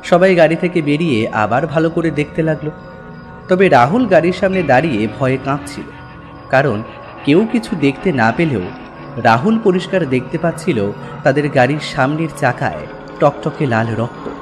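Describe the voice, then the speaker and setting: A man's voice: fairly high pitch, animated, moderate pace. A man narrating a horror audio story in a dramatic, scripted style. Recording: music behind the voice.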